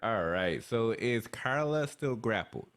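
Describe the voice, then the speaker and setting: A man casually narrating his moves as a player in a tabletop game, measured and hesitant. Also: mid-range voice, animated, fairly bright tone.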